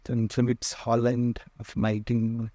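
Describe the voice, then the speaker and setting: Indian guy showing round his collection, soft dark tone, really slow with lots of pauses, dry sound. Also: mid-range voice, completely flat delivery, dry recording. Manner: halting, pausing often mid-phrase. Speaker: museum owner and radio restorer giving a tour. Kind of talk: informal narrated walk-through tour. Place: indoor museum and workshop room full of shelves.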